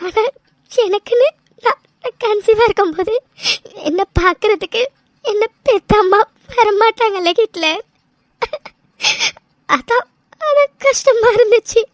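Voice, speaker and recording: A woman's voice: very high voice, a little slow, no room sound. A young woman voicing a tearful young wife raised in an orphanage, hesitant and stammering, her voice choked with tears. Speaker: voice actor. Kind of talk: audio drama acting.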